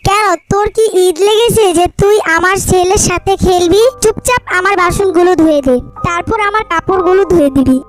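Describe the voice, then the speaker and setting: Cartoon jackal mother, played by a woman: very high voice, cruel, bossy scold, music underneath. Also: a little fast, hardly any pauses. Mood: angry, scolding. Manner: bossy, sharp-tongued, scolding. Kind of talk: Bengali cartoon voice acting. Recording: music behind the voice.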